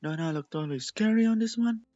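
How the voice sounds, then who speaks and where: A man's voice: sits high, lively intonation, fast, dark tone, dry recording, highs cut off, pitched above the performer's own voice. A man voicing a playful, bashful young female pony in a story reading, with a girlish, teasing manner. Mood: proudly playful.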